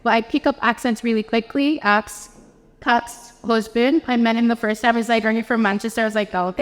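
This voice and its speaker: A woman's voice: on the high side, fairly even intonation, brisk. A woman from the Philippines with an American accent, telling chatty stories as a panelist. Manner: chatty, anecdotal, quoting others. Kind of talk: panel discussion answer. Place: conference hall. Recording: long hall reverb.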